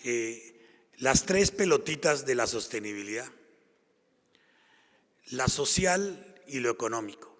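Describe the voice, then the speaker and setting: A man's voice: mid-range voice, lively intonation, slow, long pauses, fairly bright tone. A man from Colombia with a Colombian accent, giving a conference talk and driving his points home with repeated phrases. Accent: Colombian Spanish. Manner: emphatic, repetitive rhetorical build-ups. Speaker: conference speaker. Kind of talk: public lecture. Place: conference hall. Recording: long hall reverb.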